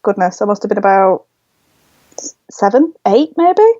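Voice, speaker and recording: A woman's voice: mid-range voice, big pitch swings, moderate pace, mellow, muffled recording. A woman in her twenties from Leeds with a Yorkshire accent, talking enthusiastically as a podcast guest, full of ums and likes. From Leeds, England. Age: 20s. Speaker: guest, blogger. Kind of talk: casual interview chat.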